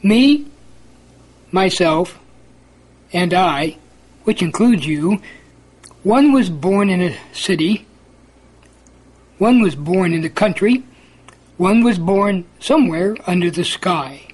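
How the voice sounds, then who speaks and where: A man reciting a religious poem in a measured, emphatic, sing-song way. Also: high voice, animated, breathy edge, poor audio. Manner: measured, emphatic, sing-song alternation between contrasting lines.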